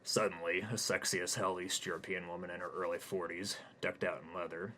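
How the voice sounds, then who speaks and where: A man reading his own crude comic story in a deadpan narrating style. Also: low-pitched, fairly quick, a little hiss or hum. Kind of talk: comic stream-of-consciousness narration.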